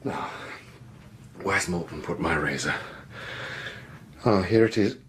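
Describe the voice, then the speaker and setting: Haunted, fearful British gentleman, emotional swings. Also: low voice, expressive, slow pace, husky, exaggerated voice. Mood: uneasy. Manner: agitated, stammering, broken repetitions. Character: terrified, haunted gentleman pursued by a supernatural evil. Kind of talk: radio horror drama acting. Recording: noisy vintage radio drama broadcast.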